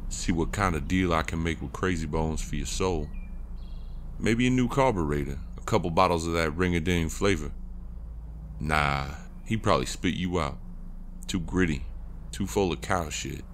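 A man voicing a cocky, taunting, rough-talking drifter in an audio horror story, with teasing, folksy slang. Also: deep voice, normal speed, a little hiss or hum.

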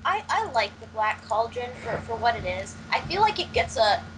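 A young woman co-hosting a casual film discussion over a video call from home, quick, wry and teasing as she argues her opinions. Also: a little high, sing-song, background noise. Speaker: podcast co-host. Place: home room, indoors.

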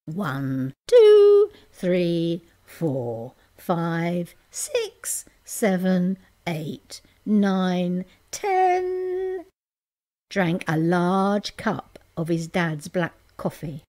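A woman reading a children's story aloud in an expressive, dramatic and playful way. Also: lowish voice, very slow. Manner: expressive, counts out words playfully. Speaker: picture-book reader.

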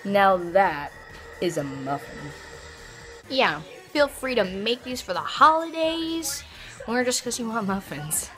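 A woman's voice: medium pitch, sing-song, slow, a bit bright. A young woman chatting casually through a home baking video, filling her talk with "like" and "you know". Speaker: cooking vlogger. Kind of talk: casual home cooking tutorial. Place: home kitchen, indoors. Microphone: phone or camera at arm's length. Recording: music playing underneath.

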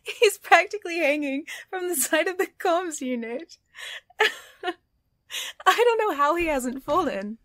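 A woman's voice: very high-pitched, lively intonation, unhurried, a bit bright, no room sound, studio quality, heavily acted. A British woman playing a sly, teasing supervillain in an audio roleplay, wry, knowing and probing. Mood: amused.

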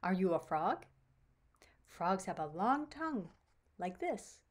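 A woman's voice: mid-range voice, big pitch swings, slow. A woman voicing a friendly little female frog in a storybook, plain and inquisitive. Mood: matter-of-fact.

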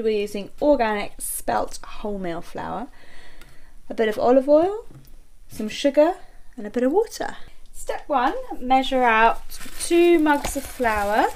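Slow, cheerful young woman giving recipe steps. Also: on the high side, a little hiss or hum. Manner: slow, cheerful, measured instructions. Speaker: vlogger cooking at home. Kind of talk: step-by-step recipe narration. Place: home kitchen.

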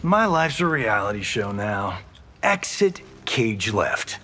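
Cocky, swooping-voiced wisecracker. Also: male voice, on the low side, sing-song, takes it slowly, bright, forceful tone, full character voice. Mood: flippant bragging. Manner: flippant, casual, exaggerated. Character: cocky, wisecracking male movie star fighter. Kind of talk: video game voice acting.

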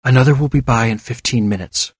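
A man's voice: medium pitch, fairly quick, slightly rough, dry recording, clean studio sound, natural voice. A man voicing a cautious male doctor who speaks formally and politely in an audiobook story. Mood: calm caution.

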